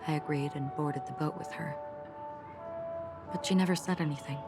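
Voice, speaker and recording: Low, wistful swordswoman over music. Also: steady tone. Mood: wistful, reflective. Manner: measured, wistful, reflective storytelling. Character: calm, melancholy swordswoman, forgetful wandering storyteller. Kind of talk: scripted video game story dialogue. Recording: music behind the voice. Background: game background music.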